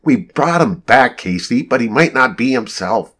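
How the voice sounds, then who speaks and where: A man's voice: mid-range voice, expressive, moderate pace, fairly bright tone. A man voicing a stubborn, combative woman executive in a story, with a firm, clipped and growling manner. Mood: defiant, growling. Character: stubborn, combative adult daughter running family company.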